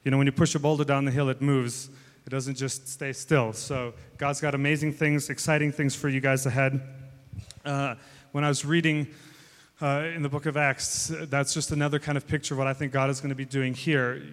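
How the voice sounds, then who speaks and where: A man with a mixed American and South African accent preaches in a steady, flat-toned voice. He talks informally, stumbles and repeats words, and his voice carries a long reverb from the church hall. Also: mid-range voice, fairly quick. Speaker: guest preacher. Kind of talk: church sermon.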